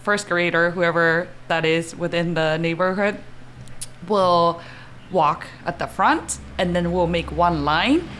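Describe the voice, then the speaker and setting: A woman's voice: fairly low pitch, takes it slowly, a bit bright, slight background noise. A middle-aged woman with a Japanese accent, a guest on a podcast talking casually and unhurriedly, laughing often between phrases, into a desk mic in a small studio. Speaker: podcast guest, athletic trainer. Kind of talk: casual interview chat. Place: small home studio, indoors. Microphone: podcast mic on a desk stand.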